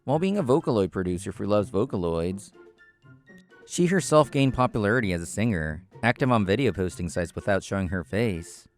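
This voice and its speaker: A man with a low voice narrates a scripted story. His delivery is measured and explanatory, told as the main character himself. Soft music plays underneath. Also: animated. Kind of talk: scripted first-person narration.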